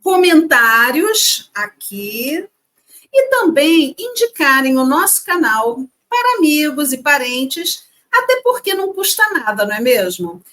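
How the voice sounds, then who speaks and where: A woman's voice: high-pitched, sing-song, a little slow, bright, forceful tone. A woman co-hosting a casual educational chat, reading facts and adding playful asides. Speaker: co-host.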